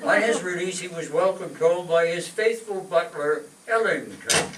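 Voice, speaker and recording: Echoey male announcer, fairly high voice. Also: moderate pace, fairly bright tone. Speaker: announcer and narrator. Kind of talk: scripted comedy narration. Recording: roomy, distant stage recording, faint noise.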